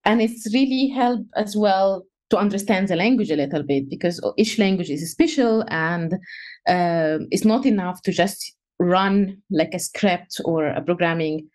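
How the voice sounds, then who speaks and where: Young woman, mid pitch, thoughtful guest answers full of hesitations and restarts. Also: a little mellow. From Egypt. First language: Egyptian Arabic. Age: young adult. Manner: halting, many fillers and restarts. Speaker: guest researcher. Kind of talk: casual interview answers.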